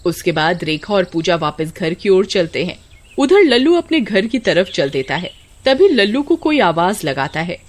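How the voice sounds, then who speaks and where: Lively woman storyteller. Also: lowish voice, moderate pace. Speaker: story narrator. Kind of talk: scripted dramatic narration of a Hindi horror story.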